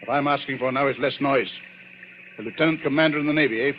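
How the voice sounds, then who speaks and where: A man's voice: mid-range voice, fast, close to an everyday voice. A man acting a blunt, dyspeptic, sardonic tycoon in a 1940s radio drama. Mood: weary, sardonic. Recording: muffled 1940s radio recording, faint noise.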